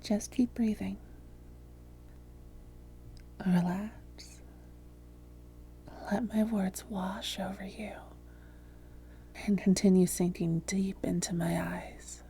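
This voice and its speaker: Woman acting as a smug female vampire, very dark mellow tone, slow coaxing delivery, soft and creaky, hypnosis roleplay audio. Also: medium pitch, a little hiss or hum. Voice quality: soft, breathy, often whispered.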